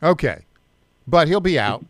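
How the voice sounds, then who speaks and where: A man's voice: a little high, very animated, measured pace, no room sound, clean studio sound. A man hosting a talk radio show, interviewing a guest with direct questions and frequent stumbling restarts.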